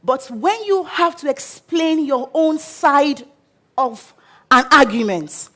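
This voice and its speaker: A woman with a Nigerian English accent giving an inspirational storytelling talk on stage, expressive and dramatic. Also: high voice, slow pace. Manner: expressive, dramatic, acts out quotes. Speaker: speaker. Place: auditorium stage.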